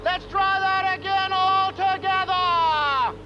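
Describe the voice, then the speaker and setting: A man's voice: extremely high pitch, fairly even intonation, slow pace. A man speaking as a military drill sergeant, barking orders to recruits. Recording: noisy, dull archive recording amid crowd.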